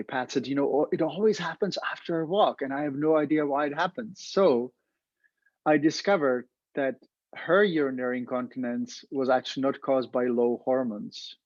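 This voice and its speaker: A man's voice: mid-range voice, no echo, highs cut off. Czech-accented man explaining as a vet. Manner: explanatory, repeats words while searching. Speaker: veterinarian host. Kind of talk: educational talk.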